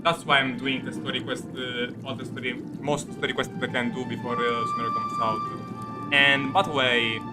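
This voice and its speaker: Halting, rambling man streaming over game music. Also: medium pitch, little pitch movement. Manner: halting, rambling, repeats himself. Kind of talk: casual gaming commentary, reacting to story.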